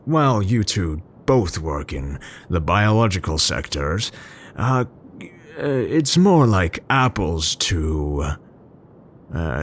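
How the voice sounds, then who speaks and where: A man acts out an awkward, tender older scientist in an ASMR roleplay. His voice sits low and moves slowly, with halting, formal musings that rise and fall expressively. A faint rumbling noise lies behind him. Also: full character voice. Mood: flustered, musing. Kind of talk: ASMR roleplay, intimate scripted monologue to listener.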